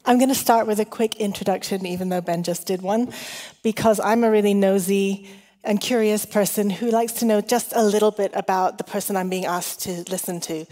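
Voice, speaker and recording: Woman, middle-aged, mixed international accent, conversational sermon, chatty, self-deprecating, long hall reverb, mid-range pitch. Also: steady tone, a little fast, mellow. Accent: mixed international, shifting. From Hong Kong. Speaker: guest preacher.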